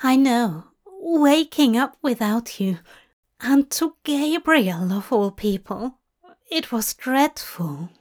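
Woman voicing a gentle, fussy angel man. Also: on the high side, animated, slow. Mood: tender.

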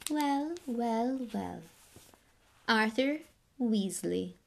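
A woman voicing a cold, haughty aristocratic wizard man, speaking quietly with contempt. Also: fairly high pitch, lively intonation, drawn-out words, vocal fry, voice pushed up, heavily acted. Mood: disdainful.

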